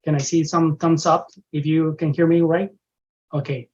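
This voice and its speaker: Brief: man, strong Mexican accent, earnest parish coordinator, slightly high voice, muffled call audio. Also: level tone, normal speed, a little mellow. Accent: Mexican Spanish. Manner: earnest, repeats himself, frequent uh fillers. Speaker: parish religious education coordinator. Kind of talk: parent orientation talk over video call. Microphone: video call microphone. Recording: dull lo-fi video call audio.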